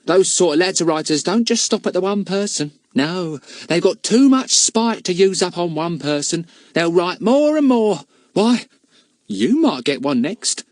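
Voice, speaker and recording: A man's voice: high-pitched, lively intonation, a little slow, dry recording, clean studio sound, pitched above the performer's own voice. A man voicing a cheerful, gossiping older charwoman with folksy, chatty speech. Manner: chatty, folksy, dropped grammar.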